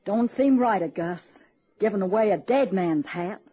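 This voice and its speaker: A woman's voice: medium pitch, animated, normal speed, slightly rough. An actress playing a superstitious, pushy working-class woman, blunt, earnest and ungrammatical, in a radio comedy drama. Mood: doubtful. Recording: dull, lo-fi old radio recording.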